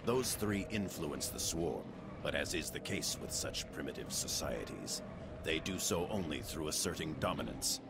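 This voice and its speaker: A man voicing a cerebral robot scientist in a video game, formal, clinical and detached, with a gravelly voice. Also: expressive, normal speed, very noisy, exaggerated voice. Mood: clinical, measured. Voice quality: very deep, gravelly. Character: cold, cerebral male robot scientist commander. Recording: loud music behind.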